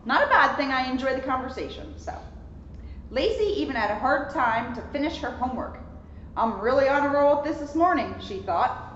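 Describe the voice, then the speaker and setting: A woman's voice: high-pitched, expressive, a little fast, fairly bright tone. A young adult woman reads a children's storybook aloud expressively, with chatty, talkative asides. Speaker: police officer reading a children's book. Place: indoor lounge at a borough hall. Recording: echoey reverberant room, muffled, some noise.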